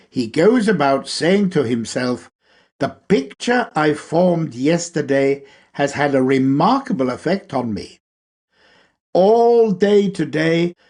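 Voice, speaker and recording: Male reader, higher-pitched voice, very slow pace, measured and emphatic, spiritual lecture reading. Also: darkish tone. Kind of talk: read-aloud spiritual lecture.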